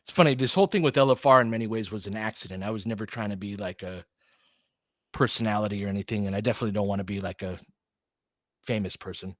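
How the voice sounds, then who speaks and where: A man's voice: on the low side, fast, fairly bright tone, no room sound, clean studio sound. A man doing casual live basketball commentary as a livestream host, talking informally and directly to his viewers.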